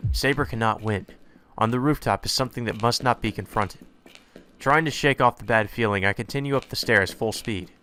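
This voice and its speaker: A man's voice: mid-range voice, fairly quick, sharp tone, a little hiss or hum, lightly acted. A man voicing an earnest young male hero, anxious and determined, narrating his own story in a visual novel.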